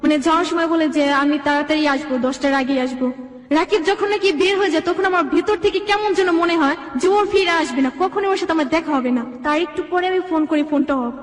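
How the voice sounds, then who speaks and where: A woman's voice: very high voice, fast talker. A young Bangladeshi woman telling her own life story as an interview guest, in rambling run-on sentences. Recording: echoey room with long reverb.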